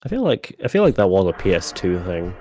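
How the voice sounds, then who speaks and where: A man's voice: sits low, wildly swooping pitch, quick pace, background noise. A man hosting a game stream with casual, off-the-cuff chat. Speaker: event host.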